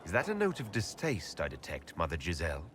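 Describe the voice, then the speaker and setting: Composed fantasy game hero, lowish voice, lively intonation, asks direct questions, noise behind. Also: male voice, fairly quick, full character voice. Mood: wary, curious. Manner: probing, polite, direct. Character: composed, inquisitive young male leader of a fantasy order. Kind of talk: video game dialogue acting.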